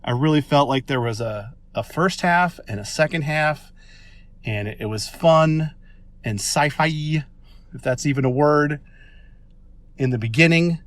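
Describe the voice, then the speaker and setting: A man's voice: on the high side, measured pace, fairly bright tone, no echo. An American man leaving a casual voice message, talking in an enthusiastic, informal and rambling way. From Minnesota, United States.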